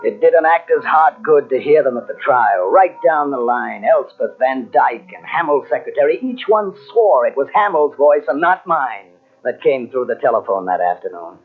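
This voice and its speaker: An actor playing a cornered, rueful murder suspect in theatrical 1940s radio mystery style. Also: male voice, medium pitch, lively intonation, normal speed, hardly any pauses, slightly rough. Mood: rueful, wry. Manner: theatrical, emphatic. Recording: tinny vintage radio recording on cassette.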